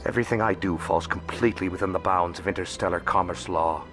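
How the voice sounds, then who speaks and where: An actor playing a shrewd, discreet alien financial broker in a video game, speaking formally and courteously in measured phrases. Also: male voice, sits low, steady tone, natural voice. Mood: smooth, defensive. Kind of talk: video game character dialogue. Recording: music playing behind the voice.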